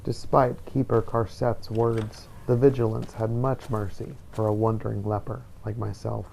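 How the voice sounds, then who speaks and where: A man voices a weary adventurer telling his own tale in a solemn, halting journal style. The voice has a gravelly fry. Clearly noisy game ambience runs behind it. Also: lowish voice, level tone, a little mellow. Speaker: gaming video creator narrating a role-play playthrough. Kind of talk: scripted in-character journal narration.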